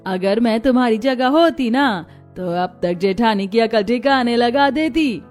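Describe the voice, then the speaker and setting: A woman playing a gushing, meddling, sharp-tongued aunt with a showy, taunting manner in a story drama. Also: a little high, a little slow, natural voice. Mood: slyly taunting. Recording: music behind the voice.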